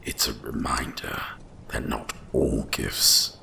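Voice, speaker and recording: A man voicing an ancient, wise dragon guardian of winter in a slow, solemn, gravelly whisper for an ASMR roleplay. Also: fairly even intonation, sharp tone, exaggerated voice. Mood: solemn, gentle. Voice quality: deep gravelly breathy whisper. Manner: slow, solemn, deliberate delivery.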